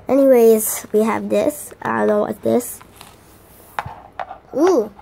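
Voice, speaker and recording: A teenage girl with a hoarse voice, chatting casually through an unboxing vlog with lots of fillers and restarts. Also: a little high, slow, dark tone, slight background noise, poor audio. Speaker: vlogger.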